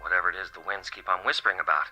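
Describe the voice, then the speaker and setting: A man's voice: low voice, fairly even intonation, very fast, pushed and bright, soft background music, heavily acted, rough recording. An actor playing an anxious, haunted male travel writer, hesitant and uneasy, in a naturalistic radio drama. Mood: uneasy, brooding.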